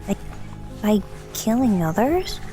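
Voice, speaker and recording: A woman's voice: mid-range voice, big pitch swings, takes it slowly. A woman playing a curious, cheeky young daughter of a soldier in an audio drama, inquisitive and teasing. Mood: curious, doubtful. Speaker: voice actor. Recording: faint music behind the voice.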